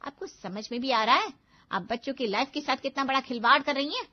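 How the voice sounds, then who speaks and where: A strict female cartoon schoolteacher, acted by a woman, with a high voice and a firm, lecturing manner. She sounds concerned for her pupils. The audio is slightly muffled. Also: animated, a little fast. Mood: scolding. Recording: dull lo-fi audio.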